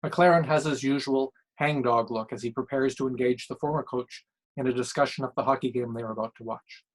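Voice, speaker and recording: Man narrating a short story, slightly husky. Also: medium pitch, fairly even intonation, a little fast, rough recording. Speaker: author reading own short story.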